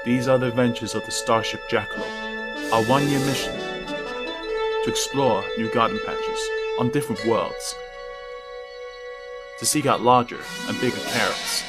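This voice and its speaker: A man's voice: medium pitch, steady tone, normal speed, long pauses, lightly acted. A man playing a solemn, grand starship adventure narrator in a parody trailer. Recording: loud plucked-guitar music behind.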